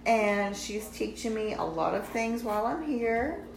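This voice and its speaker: A woman around forty chatting casually in a home kitchen, animated and teasing. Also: a little high, unhurried, gravelly, echoey room, low-quality recording. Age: 30s. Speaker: retail sales manager. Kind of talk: casual chat.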